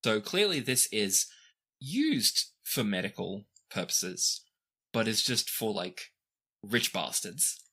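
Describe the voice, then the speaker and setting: Game master with a lively, bright voice. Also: medium pitch, slow pace. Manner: conversational, descriptive, with casual asides. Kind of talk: improvised narration of a tabletop fantasy game.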